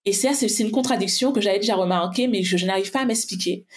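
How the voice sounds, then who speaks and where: Woman, finance coach guest, pragmatic, reflective, thinks aloud, middle pitch. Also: quick pace. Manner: reflective, pragmatic, many restarts and fillers while thinking aloud. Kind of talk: casual conversation.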